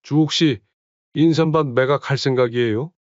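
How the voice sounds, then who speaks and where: A man's voice: mid-range voice, moderate pace, no room sound, lo-fi sound, lightly acted. A man voicing a middle-aged village head who earnestly warns a neighbor. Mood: concerned.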